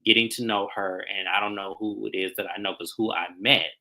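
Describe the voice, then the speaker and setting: A man's voice: on the low side, fairly even intonation, fairly quick, pushed and bright, low-quality recording. A man co-hosting a casual recap chat, laid-back and conversational with slangy phrasing. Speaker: co-host.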